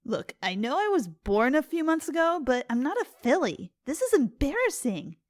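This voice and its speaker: A woman's voice: high-pitched, big pitch swings, normal speed, dry recording, studio quality. A woman playing a sullen, cynical teenage pony with a grumbling, unimpressed manner in a dramatic story reading. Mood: annoyed. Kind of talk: fan fiction dramatic reading.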